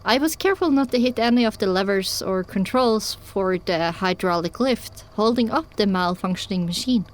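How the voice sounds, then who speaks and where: A woman's voice: medium pitch, animated, normal speed. A woman reading scripted first-person story narration as the narrator of an audio drama.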